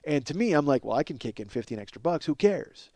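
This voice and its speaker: A man in his forties with a slightly high voice, recorded dry and close. He tells comic stories as a podcast host, rambling and self-deprecating, swearing freely and acting out both sides of conversations. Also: sing-song, fast talker, darkish tone, no room sound. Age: 40s. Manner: profane. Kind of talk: comedic storytelling monologue.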